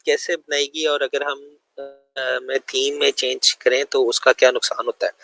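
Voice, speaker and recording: A man's voice: medium pitch, little pitch movement, a little slow, vocal fry, sharp tone, soft background music. A man with a sore throat, giving a loose, conversational screen-share lesson full of fillers. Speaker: web development tutor.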